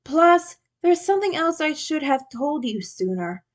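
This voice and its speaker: A woman's voice: high voice, sing-song, measured pace, pitched above the performer's own voice. A woman reading the part of an earnest, sheepish teenage male turtle leader in a fan story. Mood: apologetic, nervous.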